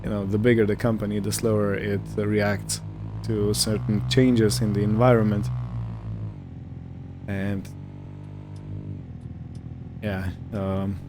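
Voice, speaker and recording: A man's voice: on the low side, flat delivery, takes it slowly, long pauses, background noise. A man giving casual roleplay gameplay commentary, musing aloud as he narrates what he is doing, with faint vehicle and ambient sound behind him. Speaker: gaming video host.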